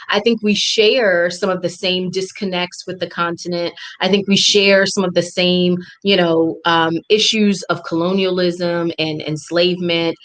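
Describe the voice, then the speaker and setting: A woman's voice: fairly low pitch, low-quality recording. A young woman hosting a live interview over a video call, earnest and reflective. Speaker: host. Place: indoor room at home.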